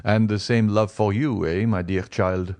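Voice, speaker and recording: A man reading an audiobook, voicing a kindly, calm older male physician who speaks slowly and soothingly. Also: fairly low pitch, dry recording. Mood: gentle. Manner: slow, soothing, measured.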